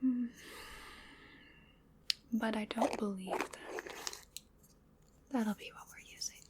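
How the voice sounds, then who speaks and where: A woman's voice: on the high side, heavy background noise. A woman playing a playful, polite, hungry vampire in a whispered ASMR roleplay, coaxing and musing as she dotes on the listener. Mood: musing, indulgent. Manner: slow, coaxing, musing, with pet names.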